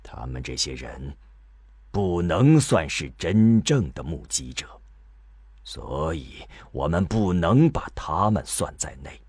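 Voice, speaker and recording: An actor playing an old scholar in a radio drama, patiently explaining to a youngster in a measured, halting, lecturing manner. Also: male voice, sits low, sing-song, a little mellow, husky, no echo, exaggerated voice. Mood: patient, grave.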